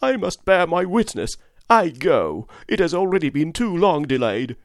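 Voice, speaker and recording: A man's voice: a little high, unhurried, creaky, dry recording, studio quality, pitched above the performer's own voice. A man voicing a frantic male curate who rants and preaches doom, crying out wildly. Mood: raving. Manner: wild ranting with shouted cries.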